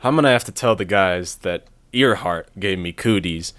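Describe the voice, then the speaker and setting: A young actor playing a dorky, soft-hearted, melodramatic guy in a romantic comedy audio drama. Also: deep voice, moderate pace, no room sound. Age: young adult. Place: bedroom. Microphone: close desk mic with pop filter.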